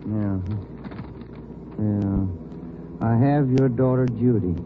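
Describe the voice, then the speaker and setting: A man's voice: mid-range voice, slow, natural voice. A man playing an easygoing detective partner. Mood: conversational. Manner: relaxed, conversational questioning. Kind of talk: 1950s radio drama acting. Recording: tinny old radio broadcast with hiss.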